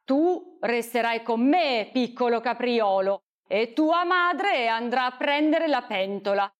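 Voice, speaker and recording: A woman voicing a greedy, domineering male bandit in a storybook reading, speaking with a raised, forceful voice. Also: a little high, lively intonation, slow pace, lo-fi sound, voice pushed up. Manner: domineering, commanding. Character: gruff menacing male bandit, bossy and greedy.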